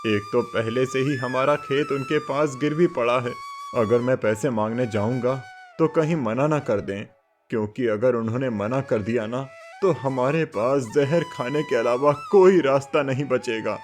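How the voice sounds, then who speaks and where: A man's voice: mid-range voice, normal speed, lightly acted. A man voicing a humble, kind, desperate village father in a cartoon moral story, earnest and pleading. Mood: worried, despairing. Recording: music bed under the voice.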